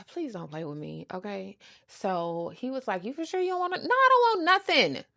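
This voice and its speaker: A woman with an American accent telling a story casually, with a sassy, animated, emphatic and slangy delivery. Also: mid-range voice, moderate pace, no room sound, studio quality. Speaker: storytime show host. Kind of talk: casual storytelling, acting out quoted conversations.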